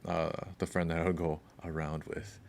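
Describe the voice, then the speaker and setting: A man with a very deep voice and a gravelly texture co-hosts a casual podcast. He tells long, wandering stories in a relaxed way and sometimes drifts off his point before finding it again. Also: normal speed, slight background noise. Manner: rambling, storytelling, loses his thread. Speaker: podcast co-host.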